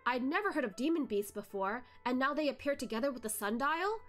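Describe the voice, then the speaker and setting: A woman voicing a sharp-witted, teasing young male investigator who questions pointedly. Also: sits high, expressive, brisk, soft background music. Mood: pressing.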